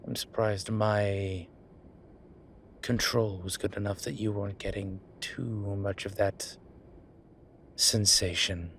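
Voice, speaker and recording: A man playing a polite, flirtatious incubus demon in an intimate roleplay audio drama, with hesitant, formal phrasing and a softness that turns breathy at times. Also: low-pitched, a little hiss or hum, full character voice. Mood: sheepish, apologetic. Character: courteous, flirtatious male incubus demon, restrained and apologetic.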